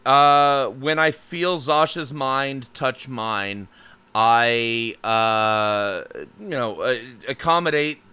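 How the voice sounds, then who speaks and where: A man's voice: medium pitch, extremely slow, bright, forceful tone, smooth. A man playing a tabletop game, hesitant with lots of fillers and restarts. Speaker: player. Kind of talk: tabletop game play, in and out of character.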